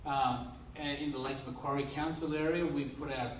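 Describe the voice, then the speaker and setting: A man's voice: on the high side, steady tone, normal speed, a little hiss or hum. An Australian man preaching a sermon as pastor, earnest, asking rhetorical questions and restarting his phrases. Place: church hall. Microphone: handheld mic. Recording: roomy hall from a distance.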